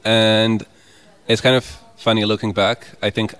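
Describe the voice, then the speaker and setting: A man's voice: fairly low pitch, level tone, unhurried, fairly bright tone, clear, resonant tone, low-quality recording. A young man from Croatia, a musician answering interview questions in English in an easygoing, reflective way. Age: young adult. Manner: easygoing, reflective, laughs often. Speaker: musician guest.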